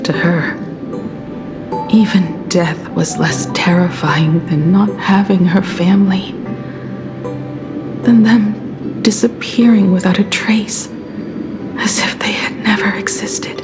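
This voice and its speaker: Woman playing a composed game heroine, hushed breathy delivery, slow, wistful, music behind. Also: medium pitch, steady tone, lightly acted. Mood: sorrowful, solemn. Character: solemn, composed woman, quietly defiant and wistful. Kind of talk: dramatic video game voice acting. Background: background music.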